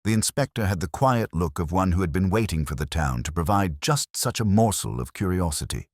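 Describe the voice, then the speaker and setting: A man narrating a literary mystery story in a measured, formal way. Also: sits low, lively intonation, no room sound, clean studio sound.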